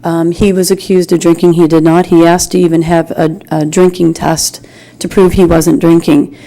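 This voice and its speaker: Community member at a public meeting: American woman, lowish mellow voice, earnest, halting, insistent. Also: little pitch movement, low-quality recording. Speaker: community member speaking in public comment at a school board meeting. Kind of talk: earnest, informal public address.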